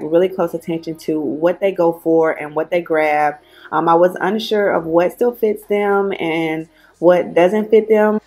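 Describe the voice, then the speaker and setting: Low-voiced woman narrating a cleaning vlog. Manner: relaxed, chatty narration addressing viewers. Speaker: vlogger. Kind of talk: clean-with-me voice-over. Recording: faint music bed underneath.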